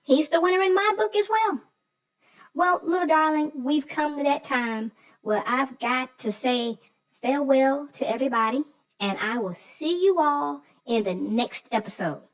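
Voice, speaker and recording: A woman's voice: high-pitched, measured pace, low-quality recording. A woman co-host chatting casually about a children's story in an agreeable, emphatic manner.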